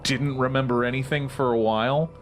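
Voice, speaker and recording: A man's voice: medium pitch, steady tone, brisk. A man talking casually and in character as a player in a tabletop role-playing game.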